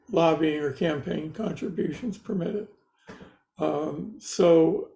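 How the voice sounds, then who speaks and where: High, creaky male voice in echoey room. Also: dark tone. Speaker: meeting participant and activist. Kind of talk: thoughtful spoken remarks. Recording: echoey room on online call.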